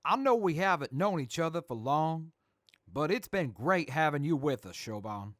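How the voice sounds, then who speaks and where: An earnest soldier, a major in a radio drama, played by a man. The voice is fairly high and swings expressively, folksy and plainspoken, recorded dry. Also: big pitch swings, moderate pace, no echo. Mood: subdued, sincere.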